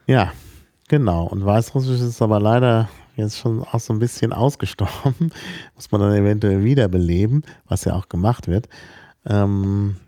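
A man from Hamburg being interviewed about languages, explaining slowly and thoughtfully, with many fillers and restarts. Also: fairly low pitch, warm tone, no echo, studio quality. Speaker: linguist guest. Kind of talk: relaxed spoken explanation in an interview.

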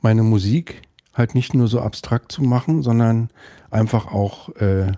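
A middle-aged man with a Berlin accent, guesting on a podcast and talking in a thoughtful, hesitant way with many pauses and restarts. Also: mid-range voice, mellow, dry recording. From West Berlin, Germany. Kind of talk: casual interview conversation.

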